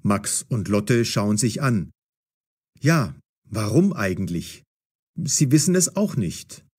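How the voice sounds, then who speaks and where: Male narrator, scripted storytelling, husky tone, widely varied pitch. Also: big pitch swings, slow, darkish tone.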